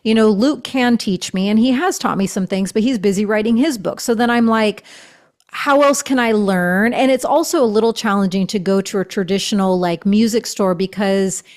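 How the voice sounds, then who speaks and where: A woman's voice: medium pitch, moderate pace, a little mellow. Middle-aged woman guest, gushy spiritual talk, lots of "you know" and "um", easygoing podcast chat. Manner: gushing, spiritual phrasing, many fillers. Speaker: podcast guest, shaman and author. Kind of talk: casual interview chat.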